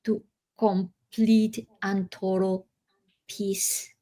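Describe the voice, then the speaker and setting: A woman from Colombia, slowly and reflectively telling her own story as an interview guest. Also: medium pitch, flat delivery. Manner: slow, reflective, with soft laughs and pauses.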